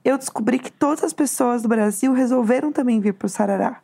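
Hoarse, gravelly woman co-host chatting. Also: fairly high pitch, brisk, warm tone. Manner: chatty, storytelling, acts out quotes. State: hoarse, losing her voice. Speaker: podcast co-host. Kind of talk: casual chat.

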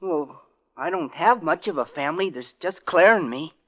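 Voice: medium pitch, animated, moderate pace, a bit bright, dull audio. An actor playing an earnest, forward young office boy in 1940s radio acting, speaking in a matter-of-fact way on an old radio recording.

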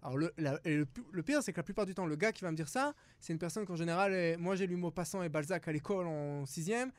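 A man's voice: fairly high pitch, quick pace, no echo. A man from France, a studio guest talking in a casual panel discussion, hesitant with many fillers and restarts.

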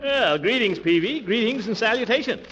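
Pompous, wildly animated old-time radio uncle. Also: male voice, very high voice, normal speed, slight background noise. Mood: self-important. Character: pompous, blustering middle-aged uncle, vain small-town big shot. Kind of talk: 1940s radio comedy acting. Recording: muffled vintage 1940s radio recording.

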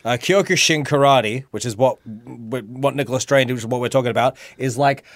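A man's voice: mid-range voice, normal speed, no echo. A man from Australia hosting a casual chat, eager and encouraging, stopping to explain things for listeners. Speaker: host.

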